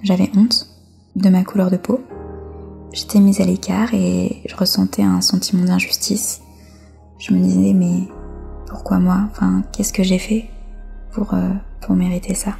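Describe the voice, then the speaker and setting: Woman, from France, interview guest, mellow tone, creaky edge, reflective hesitant phrasing, personal testimony. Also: fairly low pitch, level tone, a little slow, soft background music.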